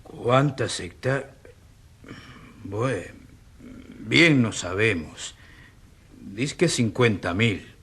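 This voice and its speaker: Timid peasant witness, radio drama. Very husky, low, hesitant. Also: male voice, a bit bright, exaggerated voice. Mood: hesitant, nervous. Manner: halting, timid.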